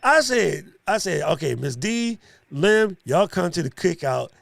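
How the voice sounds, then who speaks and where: A man's voice: sits high, very animated, measured pace, gravelly, a bit bright. A man hosting a casual live stream chat, playful and joking with plenty of slang.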